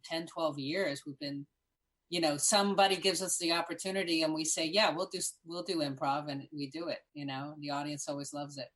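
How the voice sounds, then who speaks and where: A woman's voice: low voice, brisk, poor audio. A woman from Miami, a guest improviser, chatting in a casual interview and acting out the voices of people she quotes. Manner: animated, acts out quoted voices.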